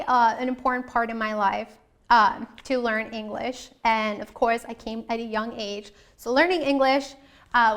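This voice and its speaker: A young woman with a smooth, clear voice set a little high. She speaks as a show host in an informal, earnest way, addressing viewers directly and often rephrasing herself. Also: fairly bright tone. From US Midwest, born in Colombia.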